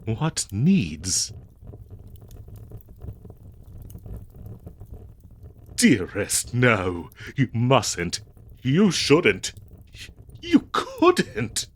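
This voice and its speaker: A man playing a prim, devoted, fretful 19th-century husband in a comedic audio roleplay, speaking in florid, halting, theatrical old-fashioned phrases. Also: medium pitch, wildly swooping pitch, husky, exaggerated voice. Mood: pleading, panicked.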